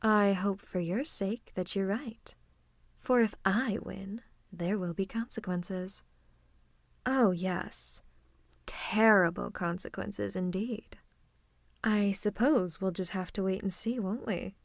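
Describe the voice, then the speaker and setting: A woman's voice: medium pitch, slow, no echo. A woman playing a playful female supervillain, affectionate and teasing with theatrical menace, in an ASMR roleplay. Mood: mock menacing. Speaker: voice actor. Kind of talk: ASMR roleplay acting, one-sided conversation.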